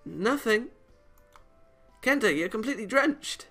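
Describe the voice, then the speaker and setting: A concerned young anime girl, put on by a man reading a visual novel. The voice is pushed high and bright, fussing and scolding, with faint music behind it. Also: lively intonation, measured pace, exaggerated voice. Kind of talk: visual novel character read aloud.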